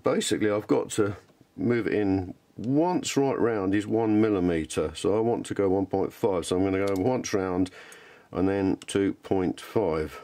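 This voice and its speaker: A man's voice: lowish voice, warm tone. A British man giving a casual, unscripted how-to demonstration in a rambling, self-deprecating, chatty way. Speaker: hobbyist maker presenting a workshop tutorial. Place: home workshop indoors. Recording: clear background noise and clicks in a workshop.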